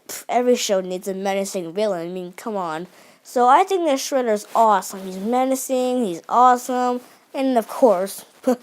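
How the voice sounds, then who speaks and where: Young female reviewer, eager and unpolished, slightly high pitch, lots of restarts. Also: a little mellow. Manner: enthusiastic, many restarts and fillers. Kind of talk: unscripted video review.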